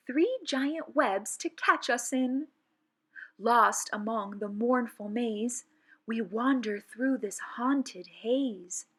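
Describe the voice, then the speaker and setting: A woman reading children's poetry aloud in an expressive, theatrical and suspenseful way, with deliberate phrasing. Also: mid-range voice, slightly rough. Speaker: children's read-aloud presenter.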